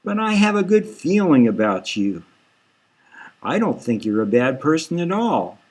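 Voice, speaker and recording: Female crime fighter, voiced by a male reader, gentle on the surface, full of guile, leading and unhurried, dark satire audiobook. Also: a little high, big pitch swings, pitched above the performer's own voice. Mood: coaxing. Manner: coaxing, soothing, leading questions.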